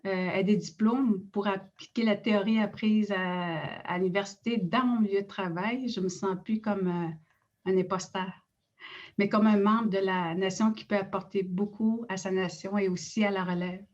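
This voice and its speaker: Innu woman speaking Quebec French, measured. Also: medium pitch, fairly even intonation, a little mellow, muffled recording. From Innu community in Quebec. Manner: measured, with frequent hesitations. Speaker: director of an Innu cultural institute. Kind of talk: reading a prepared personal account.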